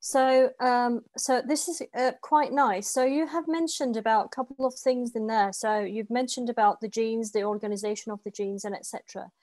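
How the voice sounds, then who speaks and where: A woman's voice: on the high side, moderate pace, hardly any pauses, darkish tone, no room sound. A woman in her thirties hosting a video call from her home, asking long questions with many fillers. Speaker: interview host. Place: home room with light walls and plants.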